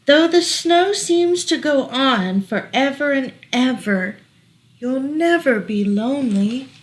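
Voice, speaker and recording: Unhurried woman reading picture books aloud. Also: high-pitched, animated, low-quality recording. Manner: gentle, unhurried, explaining pictures to little listeners. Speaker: children's librarian reading storytime. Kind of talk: read-aloud picture books for small children.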